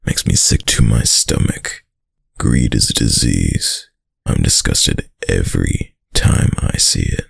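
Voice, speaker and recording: Man acting as very deep, gravelly mafia boyfriend. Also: no echo, studio quality, exaggerated voice. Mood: disgusted. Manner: slow, smug tough-guy drawl with pet names. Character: young mafia heir boyfriend, dominant, doting, menacing. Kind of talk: boyfriend roleplay ASMR audio drama.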